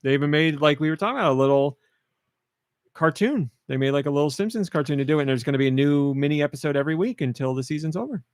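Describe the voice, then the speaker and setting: A man's voice: a little high, expressive, fast talker, no echo, studio quality. A man hosting a video game podcast, laid-back and steering the conversation between co-hosts. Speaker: podcast host. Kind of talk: casual chat.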